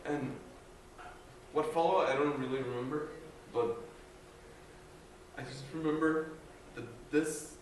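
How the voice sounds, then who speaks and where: A man's voice: on the high side, slow pace, long pauses, a little hiss or hum. Earnest young man, echoey and distant. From Guatemala. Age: young adult. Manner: hesitant, earnest, many fillers and restarts. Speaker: student giving testimony. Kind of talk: personal faith testimony. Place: large room with an audience. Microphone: no mic, distant recorder. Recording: echoey room from far off.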